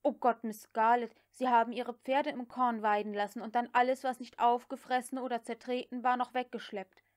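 A woman's voice: on the high side, steady tone, normal speed, close to an everyday voice. A woman voicing a deferential, anxious male house slave in an audiobook, proud but tactful, with a plaintive way of protesting. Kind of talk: audiobook character dialogue.

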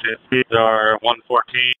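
A man's voice: medium pitch, completely flat delivery, a little slow, narrow, tinny audio. A man working as a state patrol officer, giving terse, clipped reports over a police radio. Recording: noisy police scanner radio audio.

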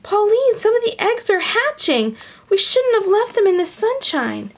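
A woman voicing a frantic, excitable woman farmer in a children's storybook, exclaiming dramatically. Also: very high voice, moderate pace, voice pushed up, exaggerated voice. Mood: alarmed. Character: frantic, excitable woman farmer fussing over her hen.

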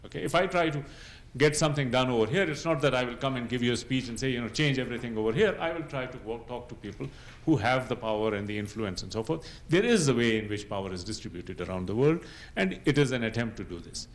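A man's voice: mid-range voice, animated. Middle-aged man explaining as a panelist. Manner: measured, explanatory, often checks understanding with tag questions. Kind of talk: explanatory panel talk, unscripted. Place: university lecture room, seated at a panel table.

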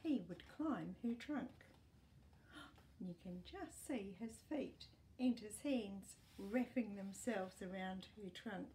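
Middle aged lady doing kids storytime, slow and mellow, chatty asides, some background noise. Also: medium pitch. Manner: expressive, asks the young listener questions, gentle gasps. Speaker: storytime host. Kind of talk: reading a picture book aloud to children, with chatty asides. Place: small indoor room with books and toys.

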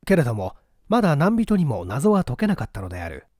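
Male narrator for literary mystery audiobook; highish voice, expressive melody, dramatic delivery. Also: fairly quick, no room sound, studio quality. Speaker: audiobook reader.

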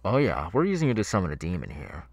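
A man playing a demon's masked henchman in an ASMR horror roleplay, chatty and halting, with mock-soothing menace. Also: sits low, huge pitch swings, dry recording, exaggerated voice. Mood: chatty, sinister. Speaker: ASMR voice actor.

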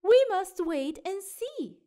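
A reassuring mother cat in a children's story, acted by a woman reader. She explains gently in a high, lilting voice, without hurry. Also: big pitch swings, slow pace, exaggerated voice.

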